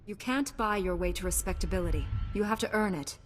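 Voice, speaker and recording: Resolute, righteous young warrior knight female actor for game cutscene. Mid-pitched, firm, composed delivery. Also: fairly quick, long reverb tail, a little hiss or hum, lightly acted. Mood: stern, resolute. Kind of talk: video game cutscene acting.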